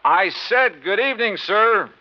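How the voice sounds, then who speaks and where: An actor playing a sharp, confident insurance investigator who narrates in brisk, wry 1950s radio detective style. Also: male voice, high voice, animated, noisy background. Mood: assured. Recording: muffled 1950s radio recording with music.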